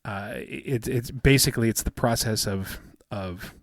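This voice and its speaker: A man in his fifties with a soothing, smooth voice, chatting casually as a podcast co-host and rambling with many fillers and restarts. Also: lowish voice, measured pace, no echo, studio quality. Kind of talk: casual chat.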